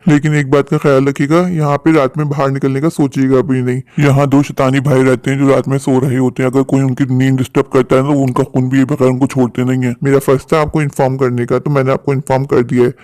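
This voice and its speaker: Man acting a money-minded male landlord, cautioning, matter-of-fact, mellow, dry close sound, cartoon dialogue. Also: mid-range voice, level tone, quick pace, hardly any pauses, no echo, natural voice. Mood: stern warning. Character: mercenary local landlord, cautioning and businesslike.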